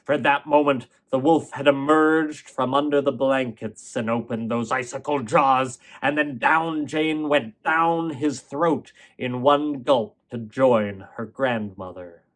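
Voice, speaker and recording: A man telling a fairy tale as a storyteller, expressively, with dramatic pauses and emphasis. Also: mid-range voice. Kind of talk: performed fairy-tale narration.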